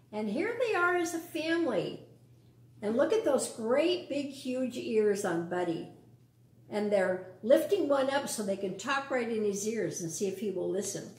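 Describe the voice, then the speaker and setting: A woman's voice: a little high, darkish tone, reverberant. A woman reading a picture book aloud to young children, adding casual explanations and questions. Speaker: storytime reader.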